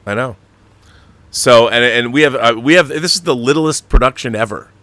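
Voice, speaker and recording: A man's voice: mid-range voice, normal speed, pushed and bright, no echo. A man hosting a casual livestream chat, talking in an effusive, enthusiastic way about his projects. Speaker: show host and producer.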